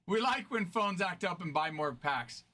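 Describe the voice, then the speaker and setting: A man with a high, bright voice hosting a card-breaking stream. He banters casually and breaks into laughter often. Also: slight background noise.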